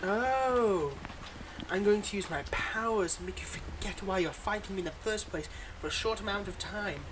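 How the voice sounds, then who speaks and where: Supervillain, acted by a performer for audio roleplay: smug, mocking, playfully exasperated, mid-pitched, with clear background noise. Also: moderate pace, natural voice. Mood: mock menacing. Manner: mocking, dramatic, addresses an unheard hero listener. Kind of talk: scripted ASMR audio roleplay.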